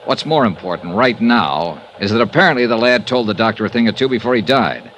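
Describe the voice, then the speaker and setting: An actor playing a tough, wry insurance investigator, narrating and talking in a 1950s radio drama with crisp, brisk delivery. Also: male voice, fairly low pitch, expressive, a bit bright, slight background noise. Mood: determined. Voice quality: crisp, brisk, dry wit.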